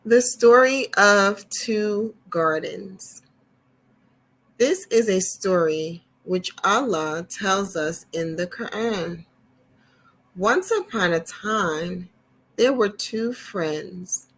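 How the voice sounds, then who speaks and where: A woman hosting a children's storytime, reading deliberately and emphatically, with chatty asides. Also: mid-range voice, a bit bright, low-quality recording. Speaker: storytime host and reader.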